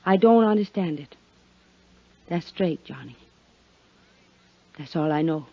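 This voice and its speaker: A woman's voice: low voice, lively intonation, slow pace, heavily acted. A woman playing a cool, coy, hard-headed businesswoman in a 1950s radio drama, speaking with poised, teasing intimacy. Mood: guarded, cool. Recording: muffled vintage 1950s radio recording.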